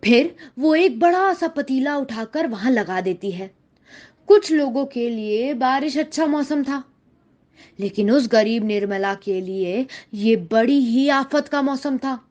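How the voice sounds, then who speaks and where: High-pitched woman storyteller, muffled audio. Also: animated, a little slow, a little mellow. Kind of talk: scripted children's story narration.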